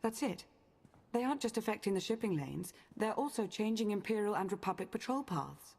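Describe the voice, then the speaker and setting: An actress voicing a composed, analytical woman in a video game, with a measured, precise way of talking. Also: mid-range voice, lively intonation, darkish tone, slightly rough.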